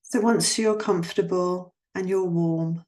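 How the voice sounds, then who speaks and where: A woman guiding a yoga nidra relaxation in a soothing, gently instructing manner, leaving long pauses between phrases. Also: on the low side, fairly even intonation. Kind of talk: guided relaxation meditation.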